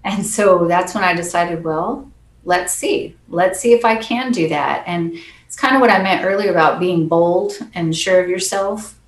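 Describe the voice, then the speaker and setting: A soft-spoken woman, a percussionist guest, chatting earnestly and laughing often in an interview. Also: lowish voice, moderate pace, roomy sound. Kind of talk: casual interview chat.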